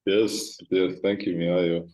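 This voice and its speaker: Very deep, flat-voiced male moderator. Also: rough recording. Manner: thoughtful, halting with frequent "um" and "you know". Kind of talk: moderated artist talk.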